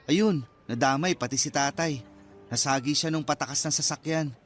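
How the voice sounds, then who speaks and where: A man's voice: a little high, normal speed, fairly bright tone, lightly acted. A man acting an earnest, awkward, apologetic young man in a Filipino radio drama. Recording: music bed behind the voice.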